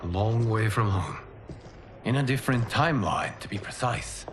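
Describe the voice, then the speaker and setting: An actor playing a calm, wise male keeper of time in a video game cutscene, speaking in a measured, reassuring way. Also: fairly low pitch, background noise, close to an everyday voice.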